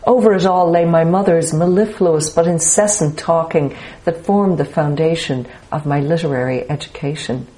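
An older woman from Dublin with a softened Irish accent, reading her memoir and then talking it over in a measured, thoughtful way. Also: deep voice, poor audio. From Ireland, Dublin. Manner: measured, thoughtful, lets a sentence trail and restart. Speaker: author and interview guest.

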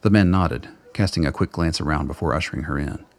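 A man's voice: a bass voice, fast talker, no echo. A man reading scripted narration for a radio drama as its narrator.

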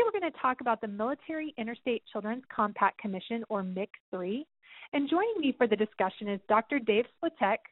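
A woman's voice: on the high side, phone-quality sound. A woman hosting a podcast, reading a scripted introduction. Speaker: podcast host. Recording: music behind the voice. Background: music.

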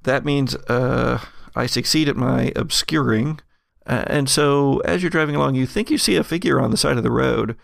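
A man with a creaky, gravelly voice narrating a tabletop horror game as game master, in a halting, deliberate way. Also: mid-range voice, dry recording, studio quality.

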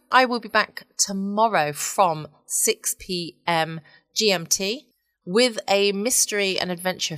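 A woman's voice: low voice, fairly bright tone, no echo. A woman hosting a late-night bedtime podcast in a chatty style. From England. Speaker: podcast host. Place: at home, quiet.

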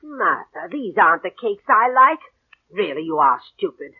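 An actress playing a vain, demanding old invalid aunt in 1940s radio drama, querulous, condescending and coquettish. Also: female voice, medium pitch, big pitch swings, normal speed, dry recording, narrow, tinny audio. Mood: peevish, scolding. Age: older. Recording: husky band-limited old radio recording.

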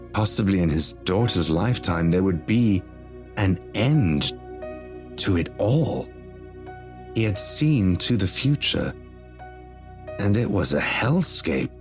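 A man reading a scripted horror story as its narrator. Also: low-pitched.

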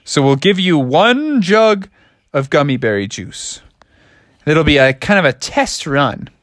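A kindly gummy bear elder, voiced by a man storyteller. He makes solemn, earnest pronouncements with lively intonation. The sound is close and dry. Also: fairly high pitch, a little slow, no room sound.

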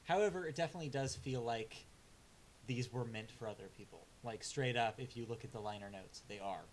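A man's voice: medium pitch, a little fast, a little hiss or hum, rough recording. A man co-hosting a casual album review chat, laid-back and hesitant with lots of filler sounds, delivering blunt, dry verdicts. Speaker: music review co-host.

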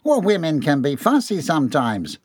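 A man's voice: fairly high pitch, huge pitch swings, moderate pace, husky, no room sound, studio quality, voice pushed up, exaggerated voice. A man voicing a hapless, whining employee in an audiobook, confused and hesitant, feigning innocence. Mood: sheepish, defensive. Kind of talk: dramatised audiobook dialogue.

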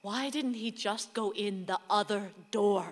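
A woman's voice: medium pitch, a little slow, close to an everyday voice. Sorrowful ghostly young wife, played by a woman in reverb. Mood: tender, sorrowful. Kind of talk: stage-like radio play acting. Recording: reverberant hall-like room.

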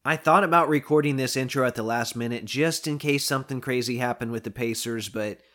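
Guy hosting a podcast, chatty and excited, kinda sick and losing his voice. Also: mid-range voice, a little fast. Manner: enthusiastic, chatty. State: coming down with a cold. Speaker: podcast host. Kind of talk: casual solo podcast talk.

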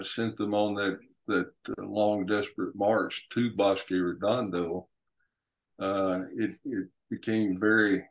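A man, an author and guest, telling history unscripted in a halting, deliberate way with frequent pauses and hesitations. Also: on the low side, poor audio.

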